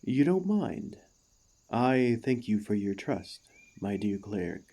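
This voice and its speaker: A man playing an earnest, devoted warforged ex-gladiator in an ASMR roleplay, speaking to the listener with formal, courteous, gently hesitant phrasing. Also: on the low side, big pitch swings, slow pace, exaggerated voice. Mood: warm, tentative.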